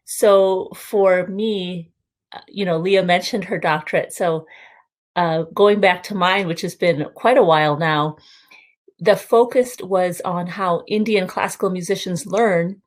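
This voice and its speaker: A middle-aged woman music teacher speaks on a panel in a somewhat low voice. She talks in a measured, hesitant way, pausing and using fillers as she thinks. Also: steady tone. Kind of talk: panel discussion.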